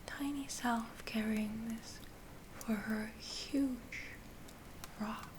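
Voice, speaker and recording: A woman performing a soft-spoken, whispered ASMR roleplay as a kind witch healer, soothing and gentle, lingering on her words. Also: fairly high pitch, fairly even intonation, drawn-out words, noisy background.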